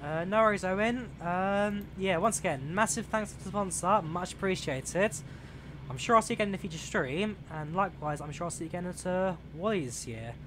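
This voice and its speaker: A man's voice: high voice, fairly quick, a bit bright. Chatty young man over train sim noise. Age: young adult, turning 20. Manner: rambling and chatty, reads chat aloud with many fillers. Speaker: streamer. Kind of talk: casual live-stream chat while playing a train simulator. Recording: game train noise clearly behind the voice. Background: train simulator engine and rail sounds.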